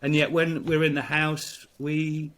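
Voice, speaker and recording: Halting English priest, higher voice. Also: male voice, little pitch movement, a bit bright, slight background noise. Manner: halting, many fillers and restarts. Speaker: priest, guest. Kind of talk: reflective storytelling in conversation.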